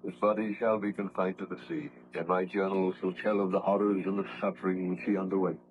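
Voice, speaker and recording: An actor playing a stern, resolute ship's captain with clipped, authoritative delivery in a 1930s radio drama. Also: male voice, sits low, level tone, a little fast, close to an everyday voice. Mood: solemn. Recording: scratchy, dull 1930s radio broadcast.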